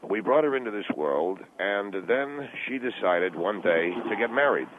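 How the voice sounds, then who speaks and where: Over a tinny phone line comes a low-pitched, middle-aged businessman father, acted by a man for radio comedy. He is money-minded and self-justifying. He stumbles, repeats himself and defends his position stubbornly. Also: expressive. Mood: defensive, explaining. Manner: halting, repetitive, defensive. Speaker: phone-in guest. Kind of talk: improvised talk radio comedy call.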